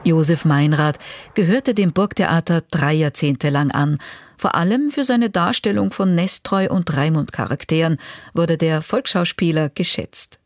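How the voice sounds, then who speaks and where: A woman's voice: sits low, unhurried, no room sound. A woman radio presenter reading a scripted introduction to archive recordings. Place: dry radio studio.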